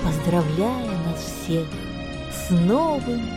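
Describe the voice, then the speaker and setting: An actress playing a gentle storyteller of a magical winter night in a radio play, reciting verse slowly over music. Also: female voice, lowish voice, animated. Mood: tender, festive.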